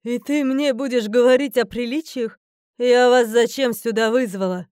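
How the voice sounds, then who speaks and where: An imperious mother goddess of a fantasy audiobook, acted out by a woman. Her voice is smooth and resonant, recorded dry and close, and she declaims with stern grandeur and quick irritation. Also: medium pitch, level tone, no echo, natural voice. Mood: irritated. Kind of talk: fantasy audiobook character acting.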